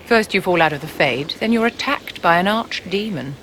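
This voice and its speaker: An actress playing a haughty, commanding court enchantress in a fantasy game, imperious, polished and condescending. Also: female voice, fairly low pitch, animated, normal speed, fairly bright tone, slight background noise. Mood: arch. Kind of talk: fantasy video game acting.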